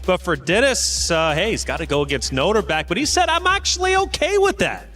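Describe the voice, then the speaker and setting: A man's voice: high-pitched, sing-song, fairly quick, sharp tone, faint music behind. A man hosting an esports broadcast desk in an upbeat presenting style, putting questions to analysts and setting up what comes next.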